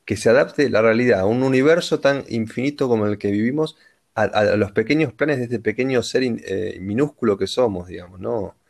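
A man's voice: medium pitch, a little fast, vocal fry. A man with an Argentine Rioplatense accent, talking reflectively and philosophically in a casual conversation.

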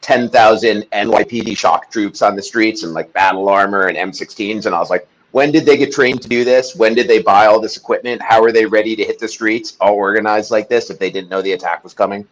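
Man, lowish pitch, podcast guest, casual chat, intense, emphatic storyteller. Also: a little fast, few pauses, rough recording.

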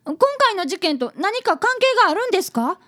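A woman's voice: very high voice, very animated, brisk, bright, forceful tone, full character voice. A woman voicing an earnest, polite fifth-grade boy apprentice detective in a radio drama. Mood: curious. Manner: eager, polite.

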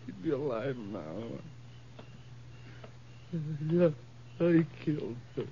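An actor playing a guilt-stricken, dazed husband in halting, anguished 1950s radio drama style. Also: male voice, medium pitch, sing-song, dark tone, slight background noise. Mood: anguished, guilty. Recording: dull vintage 1950s radio recording.

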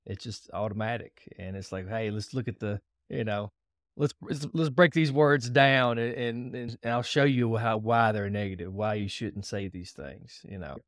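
A man hosting a podcast who talks in a relaxed, agreeable way with many fillers. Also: on the low side, moderate pace, no room sound, studio quality. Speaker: podcast host. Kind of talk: casual podcast interview.

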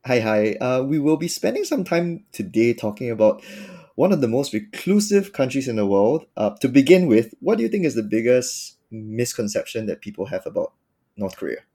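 A man's voice: medium pitch, sing-song, normal speed, mellow. A man hosting a podcast, reading an upbeat intro and then asking friendly questions. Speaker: podcast host.

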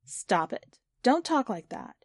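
A woman's voice: a little high, very animated, slow pace, no echo, clean studio sound, pitched above the performer's own voice, exaggerated voice. A woman voicing an evasive, flustered middle-aged mother who brushes things off in a story. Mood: deflecting.

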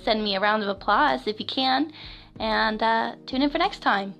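A young woman in her twenties with a high, girlish voice hosts a casual solo podcast. She speaks haltingly, with frequent fillers and nervous little laughs. Faint music runs quietly underneath. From West Florida, United States. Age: 20s. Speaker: podcast host. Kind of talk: casual personal podcast monologue.

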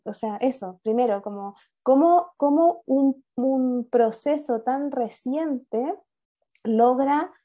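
A woman's voice: high-pitched, mellow. A woman interviewer asking a question hesitantly while searching for words.

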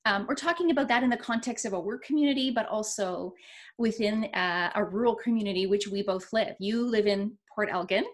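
A woman's voice: medium pitch, fairly quick. A woman hosting an interview podcast, talking earnestly, with plenty of fillers and restarts. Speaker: podcast host. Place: rural region of Ontario, Canada.

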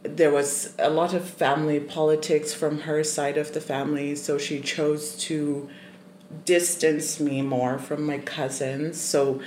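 Slow, thoughtful guest from Zimbabwe. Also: medium pitch, little pitch movement, a little mellow. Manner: thoughtful, measured, many ums, laughs often. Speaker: podcast guest. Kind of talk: casual interview storytelling. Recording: roomy echoey room, faint noise.

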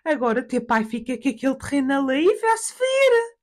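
A woman voicing her firm, business-minded older mother with emphatic, drawn-out colloquial speech in a family anecdote. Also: high voice, sing-song, voice pushed up. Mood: insistent.

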